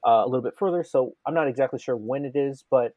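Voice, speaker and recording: Guy cohosting a gaming podcast, mellow warm voice, super dry sound, rambles and restarts a lot. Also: mid-range voice, fast, no echo, highs cut off. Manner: enthusiastic, rambling with many restarts. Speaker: podcast co-host. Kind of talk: casual gaming podcast chat.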